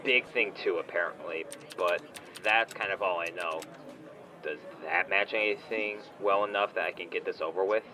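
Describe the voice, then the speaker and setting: A man's voice: sits low, normal speed, crowd noise, close to an everyday voice. A man playing a rude, impatient customer on a phone call in an audio drama, curt and dismissive. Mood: grudging, bored. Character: rude, impatient male customer phoning in complaints. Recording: distant phone call with busy outdoor background.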